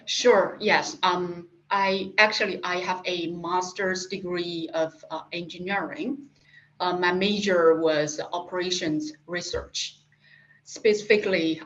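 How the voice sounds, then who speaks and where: Interview guest: middle-aged woman, Japanese accent, low voice, halting delivery, dull lo-fi recording. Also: fairly even intonation. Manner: halting, many fillers and restarts. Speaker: guest, industry specialist. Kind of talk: interview answers. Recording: dull lo-fi sound.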